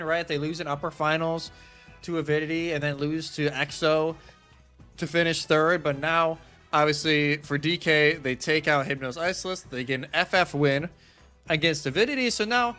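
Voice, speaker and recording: A man's voice: on the high side, bright, forceful tone. A man working as an esports caster, giving a casual, conversational match preview with emphatic phrasing. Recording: music playing behind. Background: background music.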